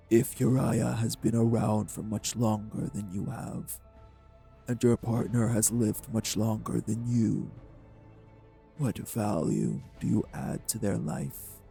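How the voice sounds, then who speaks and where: A man playing a cold, manipulative vampire villain in an audio roleplay, speaking with deliberate, condescending menace in a husky, gravelly voice. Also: fairly low pitch, dark tone, heavily acted. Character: cold, manipulative male vampire taunting his captive. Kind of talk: dramatic audio roleplay acting.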